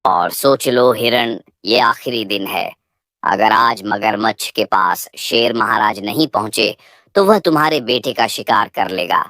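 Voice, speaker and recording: Woman playing an elder male cartoon elephant, very low pitch, wise, persuasive, concerned, dry sound. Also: unhurried, a bit bright, no room sound. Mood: urging. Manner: advising, earnest. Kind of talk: children's animal moral-story cartoon.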